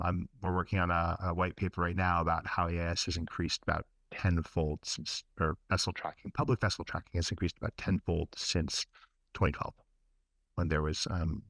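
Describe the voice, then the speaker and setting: Man with a very deep dry voice. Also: a bit bright, no room sound. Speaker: data researcher. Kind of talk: webinar answer. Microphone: video call.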